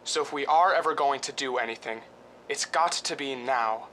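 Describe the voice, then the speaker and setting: An actor playing a restless, scheming husband of a film star in a radio drama, hesitant and conspiratorial. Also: male voice, medium pitch, moderate pace, pushed and bright, a little hiss or hum, lightly acted, low-quality recording. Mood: tense, conspiratorial.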